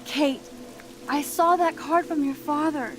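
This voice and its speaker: A woman's voice: high voice, steady tone, measured pace, over music. A woman playing an earnest, determined teenage schoolgirl coaxing a friend in a video game drama. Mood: pleading, reassuring. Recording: clearly noisy, rain pattering behind.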